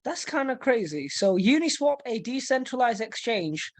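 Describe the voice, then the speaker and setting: A woman hosting a casual webinar, reading through a quick news roundup and often repeating words while she thinks. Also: on the high side, sing-song, a little mellow, no room sound. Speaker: host. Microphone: video call mic.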